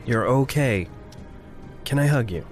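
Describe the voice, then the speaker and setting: An actor playing a gentle, attentive friend in an audio drama, careful and reassuring in how he talks. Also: mid-range voice, lively intonation, measured pace, soft background music, lightly acted. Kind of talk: naturalistic audio drama acting.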